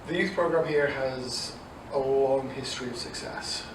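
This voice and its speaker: A man in his forties from Dublin with an Irish accent softened by English, answering interview questions conversationally as a youth ministry director. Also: medium pitch, a little slow. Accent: Irish mixed with English. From south Dublin, Ireland. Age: 40s. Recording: echoey, distant mic, noisy room.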